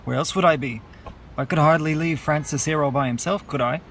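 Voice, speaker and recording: A wry, stubborn mourner. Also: male voice, on the high side, fairly quick, a bit bright, a little hiss or hum. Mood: dry, wry. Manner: dry, teasing, sardonic. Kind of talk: radio play dialogue.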